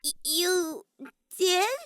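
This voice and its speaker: A woman voicing a small, timid teenage girl mage in a story, halting and nervous. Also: a bit bright, dry recording, studio quality, heavily acted. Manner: squeaky, stiff, halting.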